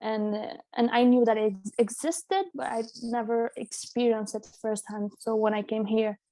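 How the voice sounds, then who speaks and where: A woman's voice: fairly high pitch, fairly even intonation, warm tone, dry recording, poor audio. A young woman from Venezuela talking casually as an interview guest, laughing often and restarting her sentences. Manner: frequent laughter, halting restarts.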